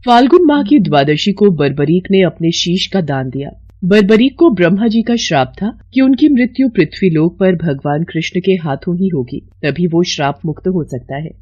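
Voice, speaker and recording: A woman's voice: lowish voice, expressive, normal speed, hardly any pauses, warm tone, slightly rough, muffled recording. A woman narrator reading a scripted devotional story in a storytelling style.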